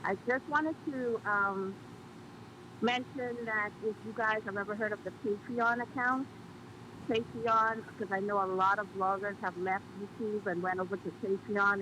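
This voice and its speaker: A Bahamian woman calls in to a church show, talking politely and warmly with plenty of ums and small hesitations. Her voice sits at a middling pitch, and clear hiss and background noise run under the line. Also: fairly even intonation, unhurried. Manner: polite, chatty, many ums. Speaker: caller. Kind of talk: call-in radio chat.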